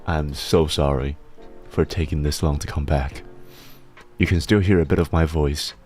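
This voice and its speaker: Male streamer, very low pitch, rambling and self-deprecating, slightly slurred speech, soft music underneath. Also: fairly even intonation, moderate pace. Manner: rambling, self-deprecating, laughs often, reads chat aloud. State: slightly slurred speech from a healing tongue injury. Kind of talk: casual chat stream.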